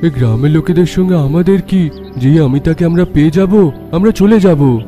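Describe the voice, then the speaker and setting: Man playing a dark-voiced determined young hero. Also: a little high, moderate pace, faint music behind, close to an everyday voice. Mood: resolute. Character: determined young man searching for his beloved. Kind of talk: cartoon voice acting.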